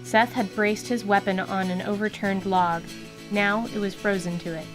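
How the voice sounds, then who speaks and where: A woman giving vivid, deliberate scripted narration for a fantasy radio drama. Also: mid-range voice, steady tone, low-quality recording. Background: music.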